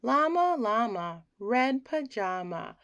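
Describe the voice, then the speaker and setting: A woman with a fairly high voice reads a children's bedtime story aloud. Her delivery is very slow and lively, swinging widely in pitch as she acts out the feelings in the words. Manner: theatrical, acts out emotions in the words. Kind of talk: expressive bedtime read-aloud.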